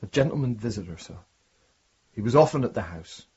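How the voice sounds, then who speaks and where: A haunted young prisoner, very husky and unclear in tone. He speaks deferentially, with wounded reluctance and a lively range of pitch. It sounds like a slightly muffled radio drama. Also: fairly quick, exaggerated voice. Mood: pleading, guarded. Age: young adult. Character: haunted young condemned prisoner protesting his innocence.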